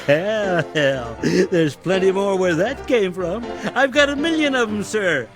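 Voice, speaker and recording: An actor playing a formal, deferential manservant with an impish streak, in old-time radio drama style. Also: male voice, sits high, lively intonation, slow pace, music in the background, heavily acted. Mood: giddy, cheeky. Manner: formal, servile, theatrical. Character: deferential manservant, gloomy outside, hiding impish cheek.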